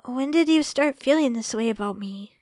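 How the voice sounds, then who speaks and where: A woman playing a sweet, shy young girlfriend in a romantic ASMR roleplay, speaking softly and hesitantly with affection. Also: fairly high pitch, animated, moderate pace, no echo, clean studio sound, close to an everyday voice. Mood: affectionate, playful. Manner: soft, hesitant, affectionate, stammering when flustered.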